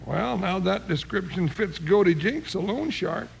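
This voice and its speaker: An actor playing a folksy, blustering American cowboy sidekick in an old-time radio Western, with a gravelly, high voice. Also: male voice, expressive, a little slow, full character voice. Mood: exasperated, folksy. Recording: noisy vintage radio recording.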